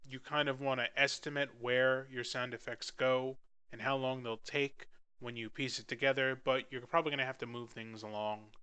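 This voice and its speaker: Young male tutorial host, casual and self-deprecating, bright and clean tone. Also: medium pitch, fairly even intonation, normal speed, smooth. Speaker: audio drama editor giving a tutorial.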